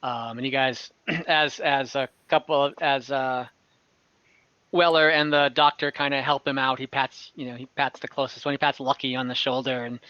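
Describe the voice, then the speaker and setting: A man narrating an online fantasy game as game master, conversational and halting, with some light amusement. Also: medium pitch, steady tone, sharp tone, clear, resonant tone, dry recording, highs cut off.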